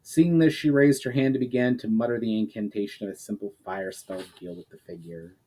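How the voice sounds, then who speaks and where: A man reading a fantasy novel aloud as a dramatic narrator, with expressive, theatrical phrasing. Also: fairly low pitch, brisk. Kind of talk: dramatic audiobook-style narration.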